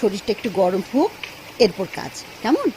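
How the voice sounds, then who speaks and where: A woman's voice: mid-range voice, very animated, normal speed, a little mellow, a little hiss or hum, close to an everyday voice, poor audio. A woman acting a lonely, devoted housewife in a Bengali radio drama, speaking expressively and tenderly. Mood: kindly, caring.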